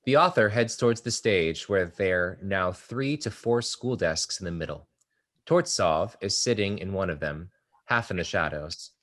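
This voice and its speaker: A man's voice: low voice, moderate pace, a bit bright. A man reading stage directions aloud in a plain, matter-of-fact way during a play table read.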